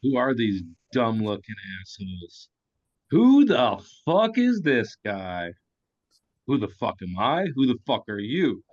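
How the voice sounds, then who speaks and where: A man's voice: medium pitch, very animated, slow, darkish tone. A man playing a swaggering, foul-mouthed goon boss in a comedic table read, mocking and condescending, over a dull online call. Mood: aggressive contempt.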